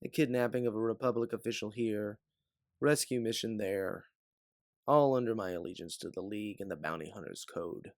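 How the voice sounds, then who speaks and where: A man playing an older, protective bounty hunter mentor in an audio drama, speaking in an earnest, measured way. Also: fairly low pitch, no echo, studio quality, lightly acted. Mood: weary, frank. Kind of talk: dramatic audio fiction acting.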